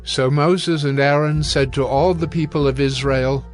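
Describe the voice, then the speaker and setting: A man narrating scripture in a solemn, measured, scripted dramatic style. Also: mid-range voice, steady tone, faint music behind.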